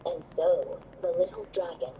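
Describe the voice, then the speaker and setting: A woman playing a storybook narrator in a video game, with measured, expressive, lyrical delivery. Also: on the low side, narrow, tinny audio, a little hiss or hum, close to an everyday voice. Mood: measured, solemn. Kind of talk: scripted narration.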